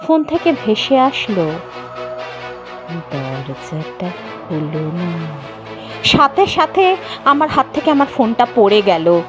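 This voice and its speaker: A woman narrating a horror story in the first person, theatrical and suspenseful, with drawn-out pauses. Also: high-pitched, big pitch swings. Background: background music.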